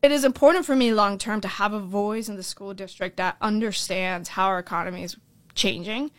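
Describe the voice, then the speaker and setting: A woman's voice: medium pitch, no room sound. A young Colombian-born woman running for school board, talking earnestly in a podcast interview and often tagging her points with "right?". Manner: earnest, fast-flowing with frequent "right?" tags.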